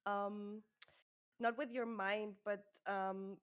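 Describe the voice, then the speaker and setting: A young woman, a native German speaker with a German accent, co-hosting a casual podcast chat in a relaxed way, often pausing to search for words. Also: medium pitch, clear, resonant tone. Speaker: podcast co-host. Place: bedroom streaming room with pink lights.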